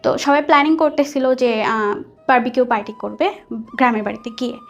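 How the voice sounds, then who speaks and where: A woman's voice: sits high, brisk. A young woman with a Bangladeshi accent telling a personal story conversationally as a guest, chatty and mixing in English words. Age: young adult. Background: soft background music.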